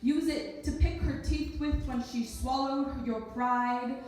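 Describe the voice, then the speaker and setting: Female spoken-word performer, slightly high voice, off-mic in a very reverberant room. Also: steady tone, slow, darkish tone. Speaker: slam poet. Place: echoey indoor event space, live performance. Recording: very echoey room, distant mic.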